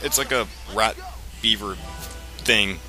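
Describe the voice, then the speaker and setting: A man's voice: lowish voice, takes it slowly. A man giving casual, rambling, explanatory commentary as host of a video game playthrough. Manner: rambling, explanatory, frequent profanity. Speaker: let's play host. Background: video game music and sound.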